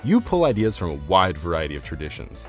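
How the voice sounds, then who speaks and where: A man's voice: sits low, huge pitch swings, quick pace. A man narrating a scripted, argumentative video essay in an articulate, formal, academic way. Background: soft instrumental music.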